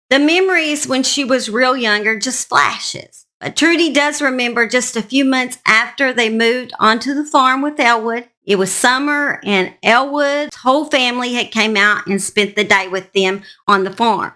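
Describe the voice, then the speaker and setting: A woman's voice: on the high side, pushed and bright. A woman hosting and telling a true-crime story in a folksy, emphatic, conversational way. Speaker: host narrator.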